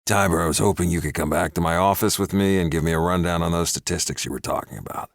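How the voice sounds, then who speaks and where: A man voicing a stern, protective male sheriff who speaks in a deep, curt, growling way. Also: fast, no room sound, clean studio sound.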